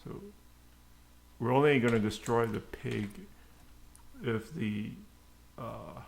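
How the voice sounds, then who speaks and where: A man giving an unscripted coding tutorial, haltingly muttering to himself as he types. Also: mid-range voice. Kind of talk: unscripted screencast coding tutorial, thinking aloud. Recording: clear background noise, keyboard clicks. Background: typing and mouse clicks.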